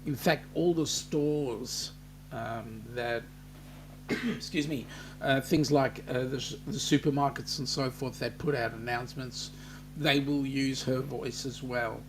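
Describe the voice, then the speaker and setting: An Australian man hosting a casual live-stream chat, reading viewer comments aloud in an unhurried way with plenty of ums. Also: medium pitch, steady tone, background noise.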